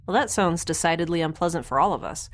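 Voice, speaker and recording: A woman's voice: fairly low pitch, expressive, fast talker, lightly acted. A woman playing a chirpy, friendly young scientist adventurer in a sci-fi audio drama, chatty, eager and quirky in delivery. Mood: bright, bargaining. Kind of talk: natural audio drama acting.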